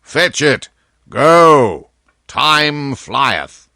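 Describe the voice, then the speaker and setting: A man voicing a frail old king in a storybook, with slow, commanding archaic royal speech. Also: medium pitch, sing-song, sharp tone, no echo, studio quality, voice pushed up, heavily acted. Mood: impatient. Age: older. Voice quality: feeble, weighty. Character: frail old dying king, wrathful and sorrowful by turns.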